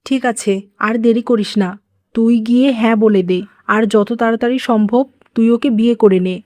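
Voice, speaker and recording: A domineering cartoon mother-in-law, acted by a woman, greedy and full of contempt. Her voice sits fairly high and is recorded very dry. She scolds and pushes as she schemes. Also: steady tone, fairly quick, a little mellow, dry recording, lightly acted. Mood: eager, scheming. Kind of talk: moral-story cartoon dubbing.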